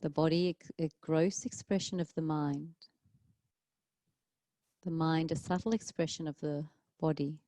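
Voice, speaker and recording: A woman teaching yoga leads a guided relaxation, calmly and gently, leaving long pauses between short phrases. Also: low voice, no echo. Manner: calm, soothing, gentle instruction with long pauses. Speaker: yoga teacher leading a class.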